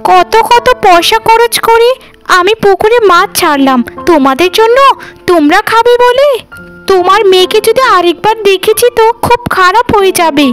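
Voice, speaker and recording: Very high-pitched haughty village housewife played by an actress. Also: female voice, expressive, normal speed, a bit bright, soft background music. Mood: indignant, scolding. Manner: condescending, gloating, scolding. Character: haughty, boastful well-off village housewife, mocking poor neighbours. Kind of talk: cartoon voice acting.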